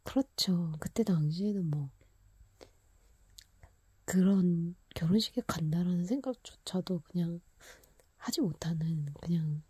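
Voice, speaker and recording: A woman whispering a personal story into a close microphone, laughing quietly now and then. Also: on the low side, a little slow, pauses often, husky, no room sound.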